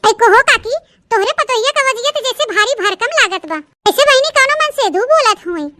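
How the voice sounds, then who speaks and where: Prying village gossip, stingy, extremely high voice, eastern Uttar Pradesh accent, comic cartoon, dry sound. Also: female voice, animated, moderate pace, pushed and bright, no room sound, exaggerated voice. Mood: suspicious. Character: nosy, stingy village neighbour woman. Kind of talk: comic cartoon story dialogue in rustic dialect.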